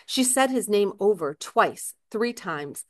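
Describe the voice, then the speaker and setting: A woman reading a novel aloud in expressive literary narration. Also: medium pitch, slow pace, no room sound, studio quality. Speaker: reader, author.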